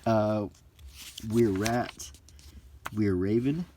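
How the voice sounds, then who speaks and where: Slow-talking, low-voiced middle-aged man, casual commentary. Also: background noise. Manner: halting, item-by-item listing with offhand opinions. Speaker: presenter of a hobby video. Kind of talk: unscripted casual commentary while leafing through books, unintentional ASMR.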